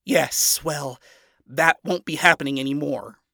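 A proud devil father played by a male narrator in a story reading, irritable and easily wounded. He sounds indignant and defensive, with a raised, bright voice and a gravelly edge. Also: fairly high pitch, measured pace, no room sound, clean studio sound, pitched above the performer's own voice, heavily acted.

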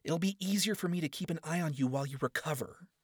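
A man voicing a kind but stubborn young demon princess in a lifted, softer voice. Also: a little high, quick pace, slightly rough, dry recording, studio quality, pitched above the performer's own voice. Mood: exasperated.

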